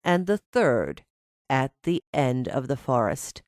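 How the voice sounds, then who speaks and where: Storybook old woman, played by a female narrator: lonely, kindly, gentle, slow-spoken, low register. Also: very animated, no room sound, studio quality, exaggerated voice. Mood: instructing.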